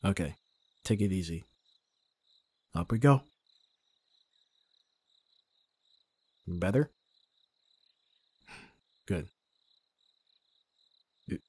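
A man acts out a young male bodyguard in an ASMR audio drama. He is abrasive but protective, with a low, husky voice and a sardonic edge. The delivery is close and intimate, recorded very dry. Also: darkish tone, dry recording, heavily acted. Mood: calm, reassuring.

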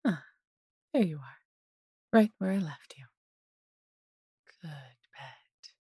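A woman voicing a large, possessive but doting female dragon in an intimate fantasy audio roleplay, coaxing and teasing in a breathy, husky voice. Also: low voice, lively intonation, slow, dark tone, no room sound, clean studio sound, heavily acted. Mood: smug, teasing.

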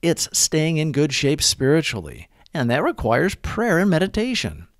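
Male narrator for reflective readings: slightly high voice, deliberate phrasing, dry close recording. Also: wildly swooping pitch, no echo. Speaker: narrator of daily recovery readings. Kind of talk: scripted narration, devotional read-aloud.

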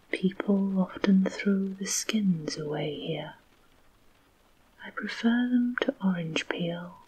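A woman voicing a self-pitying, tragic old male dragon in a slow, breathy whisper in a storybook reading. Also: lowish voice, a little hiss or hum, low-quality recording. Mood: brightening.

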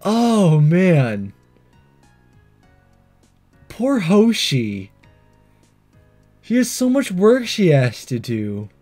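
Casting: male let's play host, casual joking asides, slightly high expressive voice, music underneath. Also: extremely slow, long pauses. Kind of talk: casual game commentary.

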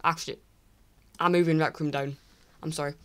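A teenage boy from England ranking online creators in casual screen-recorded commentary, rambling and apologetic with many restarts, his voice croaky from recording late at night. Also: on the high side, fairly even intonation, fairly quick, a bit bright. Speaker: YouTuber. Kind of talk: casual tier list commentary.

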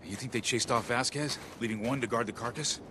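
An actor playing a stern, disciplined militia officer in a video game, speaking in a firm, businesslike way. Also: male voice, mid-range voice, a little fast, background noise, close to an everyday voice. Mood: alert, businesslike. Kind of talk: naturalistic video game acting.

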